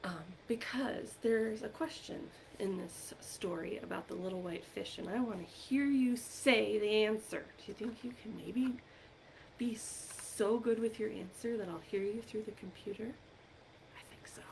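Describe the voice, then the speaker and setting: A woman leading an interactive storytime for young children as a librarian, encouraging them with questions and pauses for answers, recorded on a computer microphone. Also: medium pitch, gravelly, a little mellow, slight background noise, rough recording. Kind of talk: interactive read-aloud and singing for young children.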